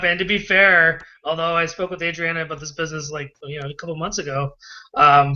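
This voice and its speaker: A man's voice: fairly high pitch, bright, forceful tone, a little hiss or hum. A man hosting a promotional live video call, praising guests with enthusiastic, hype-filled talk. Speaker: host and admin of an online business call. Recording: dull lo-fi video call audio.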